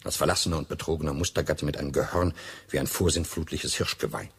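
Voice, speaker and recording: An actor playing a courteous but bitter betrayed doctor in a radio drama, gravelly, formal and emphatic. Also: male voice, extremely low pitch, fairly even intonation, fast, a bit bright, heavily acted. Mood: bitter, indignant. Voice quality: gravelly, husky. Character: courteous, bitter, self-righteous American doctor, betrayed husband. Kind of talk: German radio drama acting.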